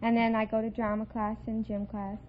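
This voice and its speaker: Teenage female student contestant, American, higher pitch; dull 1940s radio sound. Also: flat delivery, brisk. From United States. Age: teens. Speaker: high school student contestant. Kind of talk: answering a radio quiz host's questions. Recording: muffled vintage radio recording, faint hiss.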